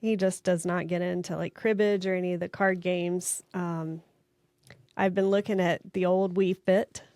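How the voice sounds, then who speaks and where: A woman's voice: on the low side, flat delivery, normal speed, darkish tone, no echo, studio quality. A middle-aged woman chatting casually as a podcast co-host. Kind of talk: casual chat.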